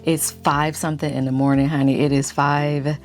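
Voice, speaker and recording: A woman vlogger chatting casually in a deep morning voice, keeping it low and quiet. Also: flat delivery, normal speed. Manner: speaking low to stay quiet. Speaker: lifestyle YouTube vlogger. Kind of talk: casual chit-chat vlog.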